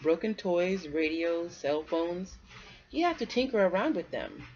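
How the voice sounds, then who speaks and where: A brisk squirrel mom as a woman reading a storybook plays her, practical and emphatic with her child. The sound is slightly dull, like an amateur recording. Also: on the low side, lively intonation, darkish tone. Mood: practical, coaxing.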